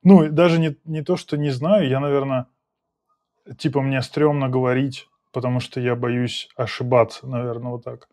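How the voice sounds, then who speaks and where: A man's voice: medium pitch, flat delivery, moderate pace, darkish tone. A man in his thirties hosting a casual video call chat from a small room at home, laid-back and slangy, laughing easily. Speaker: podcast host.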